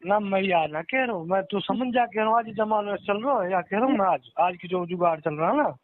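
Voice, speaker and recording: A man's voice: high-pitched, lively intonation, a little fast, slight background noise. A man with a Mewati accent chatting informally in rustic dialect on a recorded phone call. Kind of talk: casual private phone chat. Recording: tinny phone line, roomy echo.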